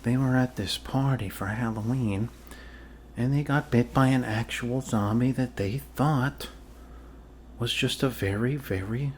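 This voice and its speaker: A man plays a goofy, reassuring doctor in an improvised ASMR roleplay. He talks slowly in a mid-pitched voice, rambling and joking casually. There is faint background noise on the recording.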